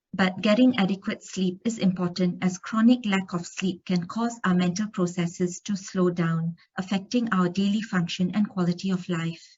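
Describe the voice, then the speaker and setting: A woman from Singapore delivers an academic lecture in a conference room, her voice sitting a little low. She works steadily through study findings, stumbling now and then on a filler or a restart. The recording is dull and slightly lo-fi. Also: darkish tone.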